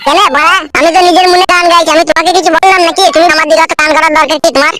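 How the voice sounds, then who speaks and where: A woman's voice: extremely high pitch, steady tone, racing. A woman playing a cheeky, argumentative young village man in a comedy cartoon, brash and crude, with music behind. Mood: defiant.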